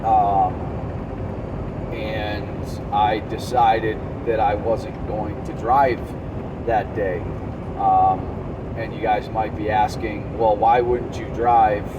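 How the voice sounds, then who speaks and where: A man speaks slowly and conversationally, like a trucker sharing stories and advice with viewers. His delivery rambles in a casual vlog style. The mic sits away from him, and clear vehicle noise runs behind his voice. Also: on the low side, little pitch movement, muffled recording. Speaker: truck driver and vlogger. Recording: distant mic with loud vehicle background noise.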